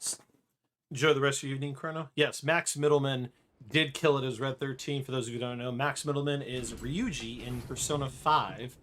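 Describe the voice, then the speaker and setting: A man's voice: mid-range voice, fairly even intonation, a little fast, a bit bright. A man giving casual, chatty live commentary as a video game streamer, informally talking to viewers. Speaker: streamer, speedrunner. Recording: game music behind the voice. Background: video game music.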